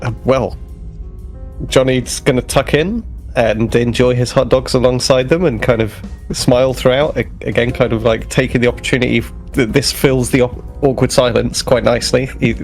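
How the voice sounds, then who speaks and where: A man with a mid-pitched voice talks through his character's actions in a tabletop game. He is casual and a little halting, with faint music behind him. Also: steady tone, vocal fry. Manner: halting, conversational. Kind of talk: casual improvised roleplay description.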